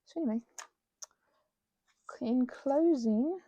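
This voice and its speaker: A woman giving an unscripted ASMR-style craft ramble as host, halting and self-correcting in an earnest way, close to the mic. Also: high-pitched, mellow, no echo, highs cut off.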